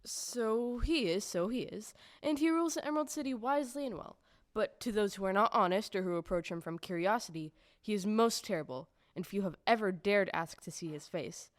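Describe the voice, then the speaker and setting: A woman's voice: medium pitch, expressive, brisk, dry recording, studio quality, lightly acted. A woman playing an officious little gatekeeper of a magical city in an audio play, formal, ceremonious and emphatic. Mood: proud, ceremonious. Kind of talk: stage-style audio drama acting.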